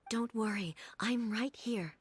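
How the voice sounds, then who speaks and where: A woman's voice: a little high, a little slow, slight background noise, close to an everyday voice. Composed, stately female shogun, acted by a woman. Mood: calm authority. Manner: composed, formal, authoritative. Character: calm, stately female shogun, a commanding deity. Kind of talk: measured video game acting.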